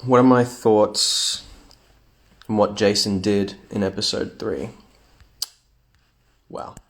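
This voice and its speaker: A man's voice: on the low side, steady tone, slow pace. A young man chatting casually on a phone livestream at home, reading fan comments aloud with playful asides.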